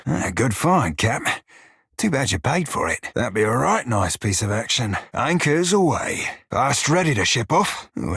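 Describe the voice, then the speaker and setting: Cheeky little furry pirate for a game, husky voice, swingy pitch, salty crude sailor talk, dry close sound. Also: male voice, fairly bright tone, dry recording, heavily acted. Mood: cheeky, bantering. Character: cheeky, foul-mouthed small furry blue pirate man. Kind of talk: video game character voice lines.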